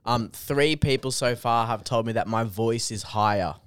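A man's voice: medium pitch, normal speed, clear, resonant tone, dry recording, studio quality. A man in his twenties with an Australian accent, a guest comedian chatting loosely, swearing and laughing as he tells stories. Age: 20s. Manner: swearing, laughing, animated storytelling. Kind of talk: casual drunk podcast banter.

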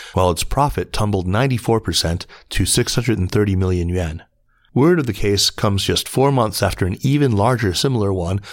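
Guy with a lowish voice reading biz news for a podcast, measured and formal, super dry sound, no room. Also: no echo. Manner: measured, formal newsreader delivery. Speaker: podcast host and news reader. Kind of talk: scripted news narration, reading articles aloud.

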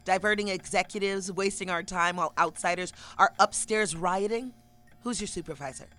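An actress playing a brisk, impatient corporate vice president in an audio drama, sardonic and bossy. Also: female voice, on the low side, fairly bright tone, soft background music, close to an everyday voice. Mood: impatient, indignant.

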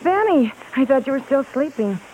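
A woman's voice: high-pitched, big pitch swings, moderate pace, a little mellow. A woman playing a devoted, encouraging stage-singer wife, coaxing and spirited, with an American accent in 1950s radio drama style. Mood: happy. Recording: hissy, crackly old radio broadcast.

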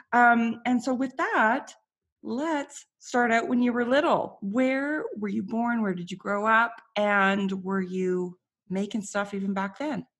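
A woman hosting an interview, asking questions in an eager, upbeat way. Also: fairly high pitch. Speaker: podcast host. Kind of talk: casual interview.

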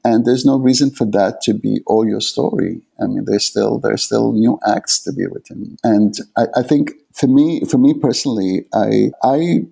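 A man's voice: on the low side, creaky, warm tone, breathy edge. A man in his fifties from the Middle East, a native Arabic speaker, talking thoughtfully as an author in an interview and often restarting to search for words. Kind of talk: reflective conversational interview.

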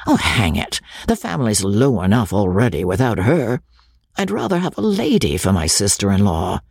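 A man's voice: lowish voice, very animated, normal speed, creaky, a little mellow, husky, no room sound, studio quality, full character voice. A man voicing a young army officer who is arrogant and mocking, talking in laughing banter. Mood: scornful.

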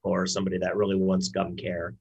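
A man's voice: low voice, completely flat delivery, brisk, vocal fry, darkish tone, husky, muffled recording. A man speaking as a brand director and interview guest in a conversational business style, often repeating words as he explains.

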